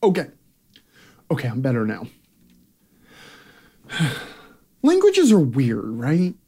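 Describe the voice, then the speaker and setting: Sarcastic man with wildly animated pitch. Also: slow pace, breathy edge. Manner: sarcastic, theatrical emphasis; brash, profane. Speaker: columnist and host reading own column aloud. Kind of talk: scripted comedic rant read aloud.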